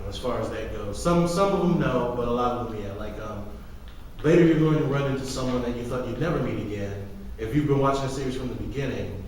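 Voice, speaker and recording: A man co-hosting a podcast in casual fan discussion, opinionated, slangy and freely swearing. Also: medium pitch. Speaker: podcast co-host. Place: reverberant room, far from the mic. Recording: very echoey room, distant mic, background noise.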